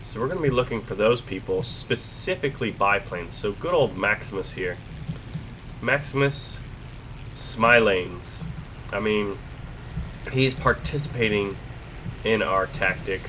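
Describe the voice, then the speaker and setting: A young man with a stuffed-up cold, hosting casual gameplay commentary, chatty and self-deprecating, clearing his throat often. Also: fairly low pitch, animated, measured pace, pauses often, noisy background. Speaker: gaming channel host.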